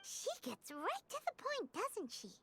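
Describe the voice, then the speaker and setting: A woman voicing a tiny, childlike, cheeky fairy companion in an anime video game, chatty and perky with a squeaky voice. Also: huge pitch swings, measured pace, slight background noise, full character voice. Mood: chatty, curious. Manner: perky, speaks of herself by name. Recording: loud game music behind the voice.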